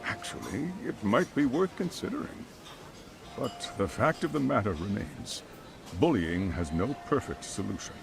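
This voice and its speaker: A man's voice: fairly low pitch, animated, normal speed, gravelly. An actor playing a grave, courteous older school chairman in a video game drama, speaking formally and with hesitation. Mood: measured, cautious. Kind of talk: video game cutscene acting. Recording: background music under the dialogue.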